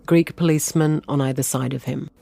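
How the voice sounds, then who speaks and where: A man's voice: fairly high pitch, fairly even intonation, a little fast, gravelly, mellow. A man reading scripted narration for a narrative radio documentary. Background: music bed.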